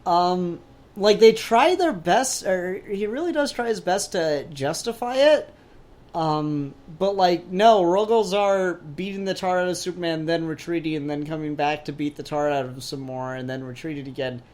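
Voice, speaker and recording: A man's voice: high voice, moderate pace, a little mellow. A man co-hosting a podcast, talking casually about comic books in an opinionated, blunt way. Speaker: podcast co-host. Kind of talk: casual comic book discussion.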